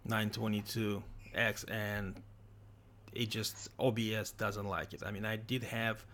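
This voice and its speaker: A man from Ukraine hosting a casual livestream interview, talking haltingly with many restarts over a computer microphone. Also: lowish voice, level tone, fairly bright tone, slight background noise. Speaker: livestream host.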